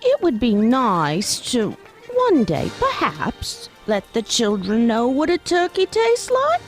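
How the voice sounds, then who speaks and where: An actress playing a loving yet easily indignant poor wife and mother in an audio drama, pointed and expressive. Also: a little high, takes it slowly, soft background music, full character voice. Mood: affectionate.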